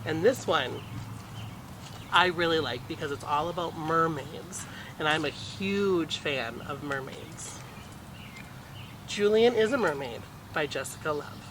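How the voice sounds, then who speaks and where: Male children's storyteller, expressive and swooping, slightly high voice, noisy recording. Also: distant mic. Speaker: storytime reader. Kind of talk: expressive picture-book reading with asides to children.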